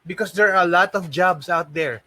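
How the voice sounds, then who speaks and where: A man's voice: sits high, a little fast, bright, forceful tone, no room sound. A man with a Filipino accent teaching a live online lesson, repeating his points and asking questions to encourage learners. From Philippines. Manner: repeats phrases and asks rhetorical questions, encouraging. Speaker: online English and call center trainer.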